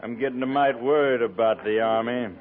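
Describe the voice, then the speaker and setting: Actor as a brash, needling buffalo hunter, tinny radio. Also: male voice, mid-range voice, a little fast, close to an everyday voice. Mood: defiant needling. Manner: folksy western phrasing, needling, mocking. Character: brash, sardonic, cocksure frontier buffalo hunter. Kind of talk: 1950s radio western acting. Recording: tinny, band-limited 1950s radio recording.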